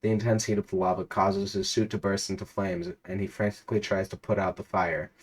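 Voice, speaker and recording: Low-voiced man reading a story dramatically. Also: flat delivery, fairly quick, slightly rough. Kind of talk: dramatic read-aloud of absurd interactive fiction.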